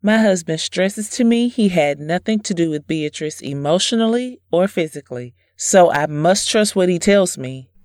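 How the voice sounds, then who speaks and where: A woman acting a devoted, trusting, pious young farm wife in an audio drama, speaking gently and earnestly. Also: fairly low pitch, lively intonation, moderate pace, few pauses, dry recording, clean studio sound, lightly acted. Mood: resigned.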